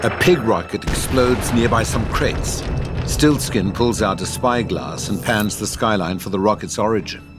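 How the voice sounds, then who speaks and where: A man with a deep voice narrates an audio drama. He reads scripted stage directions, with music playing behind him. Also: moderate pace.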